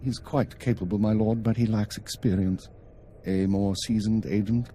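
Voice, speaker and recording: An actor as a deep, mellow, stern spymaster. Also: male voice, fairly even intonation, slight background noise, natural voice. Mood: deferential, controlled. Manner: measured, formal, dry. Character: stern, weary male intelligence spymaster, middle-aged. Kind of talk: scripted game cutscene dialogue.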